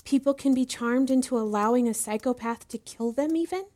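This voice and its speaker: A woman acts as a young female student in a podcast drama. Her voice is fairly high, and she speaks earnestly and inquisitively, eager to learn and deferential to whoever is teaching her. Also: brisk, dark tone, natural voice. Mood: curious, questioning. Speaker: voice actor in a fiction podcast. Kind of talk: acted audio drama dialogue.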